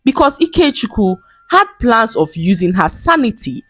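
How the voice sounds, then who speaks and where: Expressive woman narrating folktales, slightly husky. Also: on the high side, moderate pace, no echo. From Nigeria. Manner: dramatic, expressive storytelling. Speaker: storyteller.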